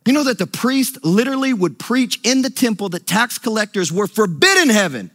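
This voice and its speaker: A man preaching a sermon with emphatic, sing-song delivery that sometimes drops to a low, hushed voice. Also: very animated, brisk. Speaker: pastor.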